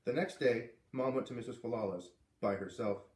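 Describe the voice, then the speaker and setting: A man reading a story aloud with expressive narration and casual asides. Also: medium pitch, a little fast. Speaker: storybook reader. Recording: echoey room.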